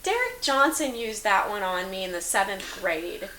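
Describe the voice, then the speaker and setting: A woman's voice: mid-range voice, sing-song, normal speed, bright, forceful tone. A woman playing a sympathetic, confiding teenage girl in an amateur dramatic dialogue reading. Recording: roomy echo, hiss in background.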